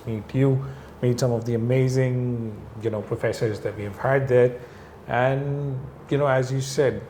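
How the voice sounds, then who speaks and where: A man from Pakistan, a real estate business owner, talking as an interview guest in a reflective, relaxed way. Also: mid-range voice, slight background noise. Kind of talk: conversational interview.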